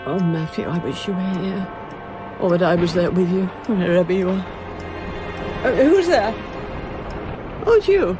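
A woman's voice: on the low side, expressive, moderate pace, long pauses, over music, natural voice. An actress playing an imperious yet wistful older titled lady in a radio play. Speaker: actor. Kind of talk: dramatic radio play acting.

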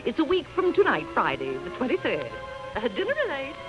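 A woman's voice: high voice, wildly swooping pitch, fairly quick, over music. A woman playing a fussy, snobbish society mother in a 1930s radio drama, fluttery in manner. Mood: gushing, polite. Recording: dull, noisy old radio recording.

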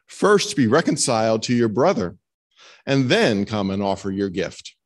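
Middle-aged minister reading with animated pitch. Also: male voice. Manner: formal, measured, reading from a text. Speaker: minister leading a church service. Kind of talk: solemn scripture and doctrinal reading aloud. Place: stone chapel, indoors. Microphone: lectern microphone on a stand.

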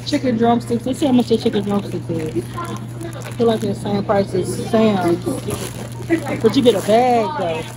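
A woman's voice: a little high, expressive, moderate pace, pauses often, crowd noise, low-quality recording. A woman, chatting to viewers as she shops and reads prices aloud. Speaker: vlogger. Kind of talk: casual shopping vlog. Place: indoor meat store, supermarket aisles. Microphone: phone held by hand, selfie camera. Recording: phone audio with clear store noise.

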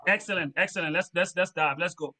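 Earnest Liberian man, high bright voice. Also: fast. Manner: earnest, preachy, repeats phrases. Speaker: trainer. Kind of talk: motivational talk in a training lesson.

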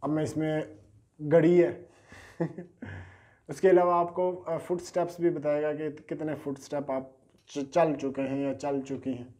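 Brief: thirties man selling gadgets, chatty and hesitant, slightly high, mellow tone, lapel mic. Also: level tone, a little slow, pauses often. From Pakistan. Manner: chatty, hesitant, repeats fillers and sales catchphrases. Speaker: online gadget seller. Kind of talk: casual product unboxing. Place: small indoor studio at a table.

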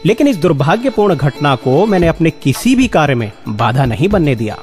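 A man's voice: on the high side, sing-song, a little fast, a little mellow, soft background music. A man playing a dignified blind scientist who earnestly recounts his life in a radio narration. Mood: earnest, proud. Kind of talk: dramatized first-person radio narration.

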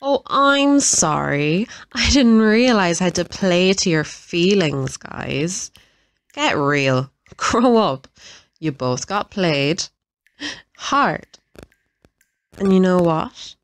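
A woman acts out a gloating, cruel villainess in an audio drama. Her voice sits a little low and is recorded very dry. She taunts smugly, stretching words for emphasis. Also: expressive, takes it slowly, dry recording.

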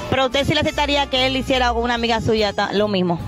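A woman's voice: fairly high pitch, very fast. A woman interviewing passers-by on a city street with a handheld mic, teasing and playful. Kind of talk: street vox-pop interview. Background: music bed.

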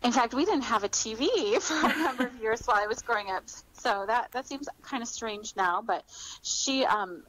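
A middle-aged woman speaks as a guest in a relaxed interview format. Her voice sits at an average pitch. She explains things in a reflective, encouraging way. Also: rough recording. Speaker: author, podcast guest. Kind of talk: conversational interview answers.

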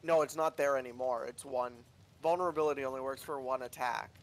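A man's voice: mid-range voice, steady tone, brisk, a little hiss or hum. A man talking casually as a partner in a co-op game. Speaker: co-op gaming partner. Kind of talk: casual gameplay chat.

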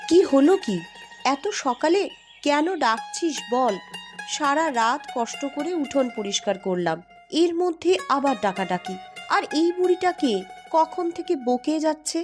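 A woman voicing a quick-witted young eldest sister in a cartoon, protective of her sisters, persuasive and sometimes scolding. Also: high-pitched, animated. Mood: irritated. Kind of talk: animated cartoon story dialogue. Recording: music under the voice.

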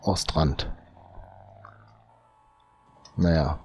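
A man with a cold, thinking aloud slowly as he comments on his own gameplay, often tagging lines with "ne?". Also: extremely low pitch, fairly even intonation, warm tone, husky. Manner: muttering, drawn-out, tags lines with "ne?". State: has a cold. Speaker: let's play host.

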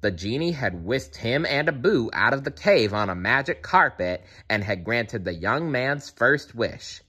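A man reading a children's storybook aloud in an animated style, with playful asides to the listener. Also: medium pitch, measured pace, slightly rough. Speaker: storybook reader.

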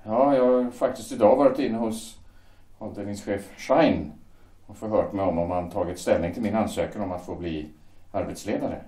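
Guy doing a halting worker torn up inside, lowish mellow voice, echoey room. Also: close to an everyday voice. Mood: troubled. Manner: hesitant, halting, repeats words. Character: young German shipyard worker, hesitant, conscience-torn. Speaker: shipyard worker. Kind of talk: amateur audio drama acting.

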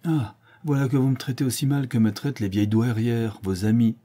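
A man voicing a proud, brooding young gentleman in a read-aloud novel, ironic in tone. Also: mid-range voice, fairly even intonation, a little fast, voice pushed up, natural voice.

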